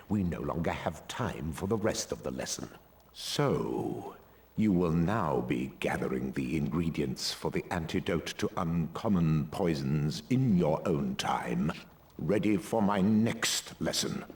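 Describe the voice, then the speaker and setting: A man's voice: deep voice, darkish tone, slight background noise, full character voice. An actor playing a stern, cold male potions professor in a video game, speaking in a clipped, sneering, commanding way. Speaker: teacher.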